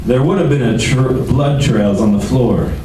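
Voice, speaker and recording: A man's voice: mid-range voice, moderate pace, natural voice. A man playing a grumpy, weary, sardonic police lieutenant in an old-time radio detective drama. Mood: businesslike. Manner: grumbling, sardonic. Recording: roomy, distant microphone, noticeable background noise.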